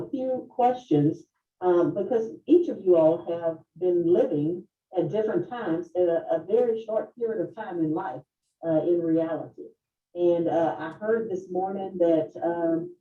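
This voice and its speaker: Distant, echoey woman moderating formally. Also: fairly low pitch. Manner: formal, deliberate, courteous. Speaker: moderator of a panel. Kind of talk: formal panel moderation in a short film. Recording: distant, roomy, dull lo-fi video sound.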